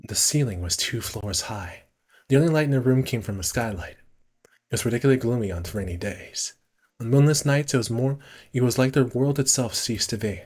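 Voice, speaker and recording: A man's voice: medium pitch, lively intonation, a little fast, darkish tone, slightly rough. A man casually streaming a visual novel, reading the narration aloud and correcting himself with muttered asides.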